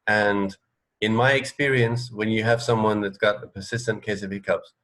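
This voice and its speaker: Middle-aged man, low pitch, casual radio co-host, halting restarts, video call. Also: fairly even intonation, fairly bright tone, poor audio. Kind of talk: casual chat. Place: indoor room at home, on a video call.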